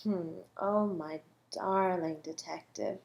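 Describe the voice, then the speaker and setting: A suave, flirtatious female murder suspect played by a woman in an audio roleplay. She is mocking and condescending, stretching words theatrically and slipping into breathy asides as she toys with her listener. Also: fairly low pitch, fairly even intonation, slow pace, a little mellow, lo-fi sound. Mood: flirty, teasing. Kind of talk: scripted audio roleplay monologue.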